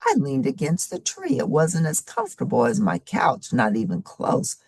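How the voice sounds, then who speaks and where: A woman voicing a laid-back, amusing couch potato who tells his story in a dramatic, expressive storybook manner. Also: low-pitched, moderate pace, gravelly, breathy edge, no echo, studio quality, full character voice. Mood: wondering.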